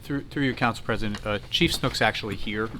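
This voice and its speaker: A man's voice: lowish voice, brisk, bright, forceful tone, slight background noise. A man, a town official, making a formal remark to the council president. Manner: formal, addressing the chair. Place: council chamber.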